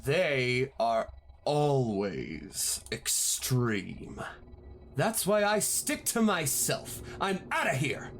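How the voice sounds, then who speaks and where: An actor playing an aloof, self-centred emotion spirit in an audio drama, drawn-out and disdainful. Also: male voice, mid-range voice, huge pitch swings, a bit bright, soft background music, heavily acted. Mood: scornful, aloof.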